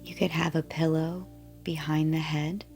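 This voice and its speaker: Female meditation guide, low and very even, soft breathy endings, soothing delivery over music. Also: poor audio. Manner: soothing, gentle, unhurried. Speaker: yoga nidra guide.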